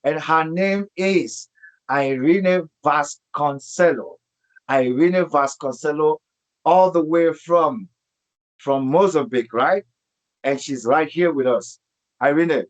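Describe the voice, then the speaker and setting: A man's voice: a little high, measured pace, low-quality recording. A man hosting an online interview show, speaking emphatically and repeating phrases for emphasis. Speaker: host.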